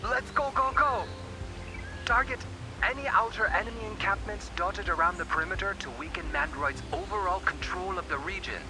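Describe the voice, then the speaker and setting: Man voicing an excitable, high-voiced mission operator. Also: normal speed, lightly acted. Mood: urging. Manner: upbeat, urging. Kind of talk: scripted video game mission briefing.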